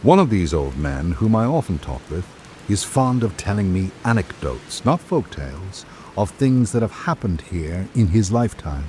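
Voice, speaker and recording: A man playing a reflective visiting writer, reading his island journal in measured, literary radio drama narration. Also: low voice, very animated, dark tone, slight background noise. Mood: observant.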